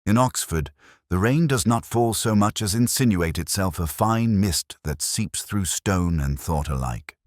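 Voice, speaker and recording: A man plays a brooding, middle-aged police inspector recounting his case in an audio drama. His voice is extremely low, with lively rises and falls within a measured, reflective delivery. He sounds weary and melancholic, recorded dry with no room around him. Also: dry recording. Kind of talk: scripted first-person detective narration.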